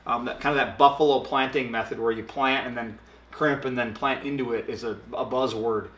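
A man in his thirties talks a product through in a relaxed, unhurried way, sprinkling in ums as he explains. His voice sits at an ordinary middle pitch, and the room adds a noticeable echo around it. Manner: relaxed explaining with hand gestures and filler ums. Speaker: product expert. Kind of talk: casual product talk. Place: dark studio set, indoors. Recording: roomy echo.

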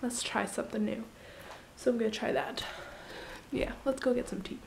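A woman's voice: on the high side, steady tone, moderate pace, creaky, slight background noise. Stuffy-nosed young woman vlogging. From Canada. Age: young adult. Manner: chatty, rambling, quiet at night. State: stuffy nose from a cold. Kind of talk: casual daily vlog. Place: bedroom, car and living room at home. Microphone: handheld camera at arm's length.

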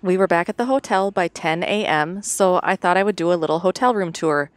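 Middle-aged woman, scripted voice-over, even delivery, slightly low voice. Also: a little fast, no room sound. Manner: even, matter-of-fact storytelling. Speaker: vlogger narrating her weekend.

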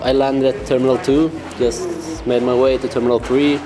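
A young man from Sweden describes landing and getting lunch in an easygoing, casual way. His voice is middle-pitched, with clear music behind him. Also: level tone, moderate pace. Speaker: international student. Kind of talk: casual testimonial.

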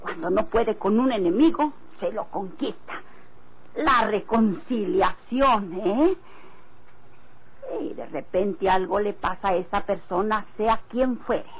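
An actress playing a wary, skeptical country wife in a Mexican radio drama, pointed and admonishing, with a Mexican accent. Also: female voice, medium pitch, slow, a crowd in the background, low-quality recording. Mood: wary, foreboding. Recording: old radio recording, faint noise.

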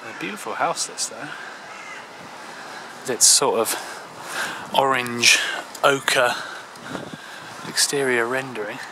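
A man in his thirties giving a casual, halting walking commentary as a travel vlogger, speaking outdoors into a handheld camera. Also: mid-range voice. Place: outdoors in a park and old town streets. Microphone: handheld camera at arm's length. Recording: clear outdoor ambient noise.

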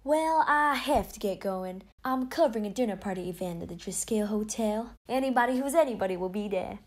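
A woman's voice: mid-range voice, expressive, a little fast, natural voice. A woman playing a chatty, confident society reporter in an audio play, with a Southern American accent. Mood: breezy.

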